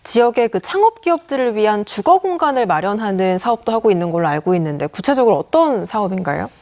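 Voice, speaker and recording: A woman's voice: medium pitch, sing-song, fast, darkish tone. A woman hosting a TV interview, asking polite, poised questions. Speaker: TV interview host.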